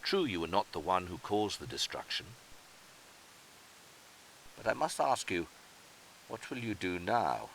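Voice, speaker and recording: Deep, solemn old spirit played by a man. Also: very animated, measured pace, creaky, pauses often, slight background noise, heavily acted, low-quality recording. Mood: stern, questioning. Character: solemn, wise old male Eidolon storytelling spirit. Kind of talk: fan dub fantasy game acting. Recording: loud music under the voice. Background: music.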